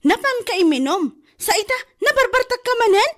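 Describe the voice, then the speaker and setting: A woman's voice: sing-song, a little fast, a bit bright, full character voice. A woman with an Ilocano accent voicing a nagging woman who scolds a drunkard. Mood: scolding. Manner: scolding, shrill.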